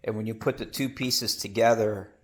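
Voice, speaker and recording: A man with a cold, his voice rough and gravelly, chatting casually in his home workshop about building his aircraft, rambling and correcting himself as he goes. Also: on the low side, steady tone, moderate pace. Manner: rambling, self-correcting, with hesitations. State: has a cold.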